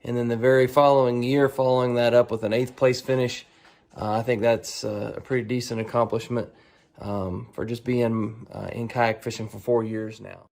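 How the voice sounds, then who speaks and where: A man speaking casually and haltingly as an angler answering a set of profile questions, restarting phrases as he goes. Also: medium pitch, flat delivery, vocal fry, a little mellow.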